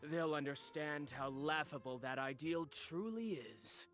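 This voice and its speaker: A sneering bitter rival designer. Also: male voice, fairly high pitch, a little slow, fairly bright tone, soft background music. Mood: scornful. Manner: sneering, mocking. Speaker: game character.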